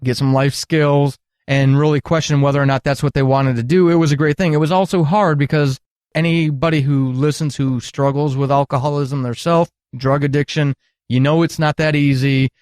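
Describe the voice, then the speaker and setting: A man's voice: a little high, fairly even intonation, normal speed, a little mellow, clear, resonant tone, no echo, studio quality. A middle-aged man telling candid, earnest stories at length as an interview guest, with emphatic phrasing. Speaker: podcast guest, retired military counselor.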